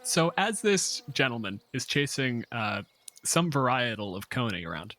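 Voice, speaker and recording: A man's voice: mid-range voice, lively intonation, normal speed, pushed and bright. A man running a tabletop game as game master, narrating in a conversational, joking way.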